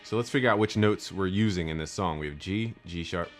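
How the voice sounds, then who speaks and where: A young man with a very low voice, walking through a music production tutorial step by step. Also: fairly quick. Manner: step-by-step, instructive. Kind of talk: screen-recorded software tutorial.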